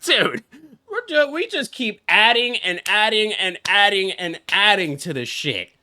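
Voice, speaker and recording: A man's voice: high-pitched, sing-song, measured pace, sharp tone, no echo. A young man, a game streamer, giving casual live reaction commentary in a brash, exclamatory, heavily profane way. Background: game music.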